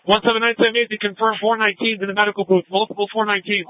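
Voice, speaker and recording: A man's voice: high voice, little pitch movement, very fast, dry recording, narrow, tinny audio. A male police officer making urgent radio reports, heard through a police scanner. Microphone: two-way police radio.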